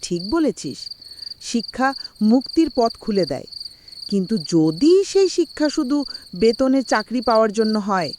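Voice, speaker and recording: Female actor as kindly old grandma, animated intonation, patient explaining, cartoon, clear background noise. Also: a little high, unhurried. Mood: patient, instructive.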